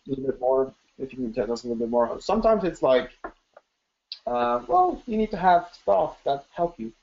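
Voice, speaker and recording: A man's voice: fairly high pitch, very animated, moderate pace, creaky. A man hosting a live webinar Q&A, chatty and enthusiastic, with lots of ums and restarts. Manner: chatty, filler-heavy, enthusiastic. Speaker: webinar host. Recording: dull lo-fi webinar audio.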